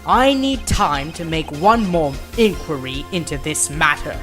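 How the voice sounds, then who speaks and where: Guy doing snooty prosecutor, slow n precise, very formal, game fandub w music. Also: fairly high pitch, very animated. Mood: cool, calculating. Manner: pompous, precise, measured. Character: cool, formal, haughty male prosecutor. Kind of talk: video game fandub courtroom drama.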